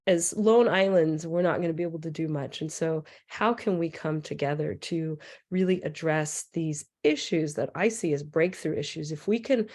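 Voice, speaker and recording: A woman with a raspy voice, hoarse from a cold, giving a loose, rambling presentation with many fillers over a computer mic on a video call. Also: lowish voice, a little mellow. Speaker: transit equity advocate presenter.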